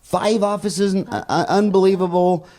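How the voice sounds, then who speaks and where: A man hosting a conversational podcast interview at home, speaking haltingly, repeating phrases and telling anecdotes. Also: high voice, fairly even intonation, mellow. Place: dining room at home.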